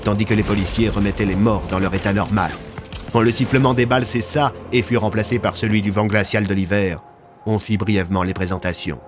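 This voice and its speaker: Guy narrating an audio story, kinda low voice, dramatic, loud music behind. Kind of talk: scripted audio fiction narration.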